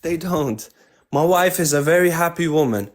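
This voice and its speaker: A man's voice: on the high side, lively intonation, a little fast. A man in his thirties in a heated debate over a remote call, stammering and repeating words as he pushes back. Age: 30s. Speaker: debate participant.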